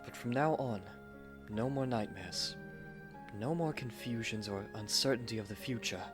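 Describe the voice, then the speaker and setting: Guy doing a sweet sincere gentleman husband, kinda formal and gentle, music under it, roleplay audio. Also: medium pitch, moderate pace, lightly acted. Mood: loving, reassuring. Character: tender, earnest gentleman newlywed, devoted husband.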